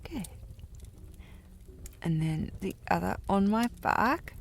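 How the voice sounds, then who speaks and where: Slow-talking tipsy young noblewoman, played by an actress. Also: medium pitch, animated, gravelly, a little hiss or hum. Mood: playful, giddy. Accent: affected, sliding period accent. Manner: slightly slurred drunk delivery, playfully imperious, formal wording. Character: tipsy, haughty, teasing young noblewoman of a bygone era. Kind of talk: intimate audio roleplay, period drama.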